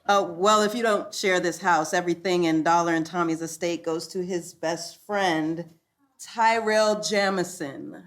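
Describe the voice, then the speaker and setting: An actress playing an awkward, officious executor with a formal, hesitant manner. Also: female voice, fairly low pitch, steady tone, measured pace, lightly acted. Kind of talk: live comedy table read.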